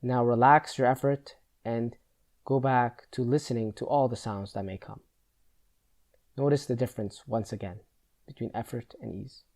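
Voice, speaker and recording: A man leading a guided meditation, giving slow instructions in a measured, gentle, instructional manner. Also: medium pitch, fairly even intonation.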